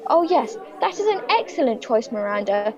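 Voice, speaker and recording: A woman plays a fussy, patronizing schoolteacher trying to keep order in her class. Her high voice swoops up and down as she corrects the pupils. The audio sounds dull and muffled. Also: sing-song, moderate pace, crowd noise. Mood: prim. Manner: prim, patronizing, swooping intonation. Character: prim, fussy schoolteacher facing an unruly class. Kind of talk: comic stage monologue.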